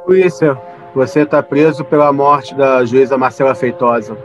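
A man's voice: mid-range voice, steady tone, moderate pace, over music, lightly acted. An actor playing a blunt, cocky man in an audio drama. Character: male officer or accomplice, blunt and cocky.

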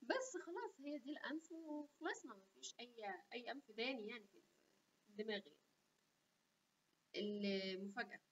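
A young woman with an Egyptian Arabic accent hosting a casual health vlog, talking animatedly and chatty with asides, recorded on a webcam in a small room. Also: a little high. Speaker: health channel host. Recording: dull lo-fi webcam audio.

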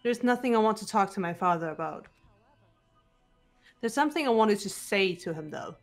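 A guarded teenage detective girl, played by a woman, speaks with measured seriousness in a video game. Her pitch sits at an ordinary level for a woman, and music plays behind her. She sounds composed and deliberate, with bitterness held in check. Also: expressive, close to an everyday voice. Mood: cool, resolute. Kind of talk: amateur video game voice acting. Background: background music.

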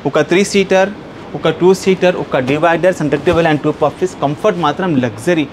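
A man in his thirties with a Hyderabad Telugu accent gives an unscripted furniture sales pitch, insistent and repetitive, peppered with English words. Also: fairly quick. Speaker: furniture shop owner and salesman. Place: large indoor furniture showroom. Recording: clear background room noise.

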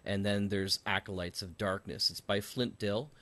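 A man's voice: on the low side, steady tone, normal speed, bright, forceful tone. A man hosting a casual interview about his field, talking in an enthusiastic way. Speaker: podcast host and audio drama writer.

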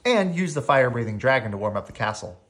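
Woman reading as an eager, lively young boy. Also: a bass voice, quick pace. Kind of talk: acted children's storybook reading.

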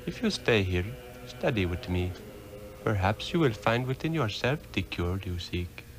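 An actor playing a wise, aged mystic master in an old radio drama, speaking solemnly and formally. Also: male voice, on the low side, expressive, unhurried. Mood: knowing, calm. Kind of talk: 1960s radio drama acting. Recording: old radio drama recording under loud music.

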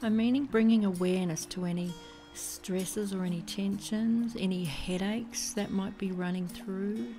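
A woman guides a meditation at a very slow pace, her tone dark and relaxed. She speaks soothingly and gently, pausing between phrases, with music playing underneath. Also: mid-range voice. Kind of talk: guided body scan meditation.

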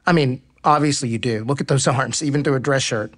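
A man's voice: medium pitch, fairly quick, close to an everyday voice. An actor playing a cocky, teasing male pro baseball player in a romantic comedy audio drama. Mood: flirtatious, playful.

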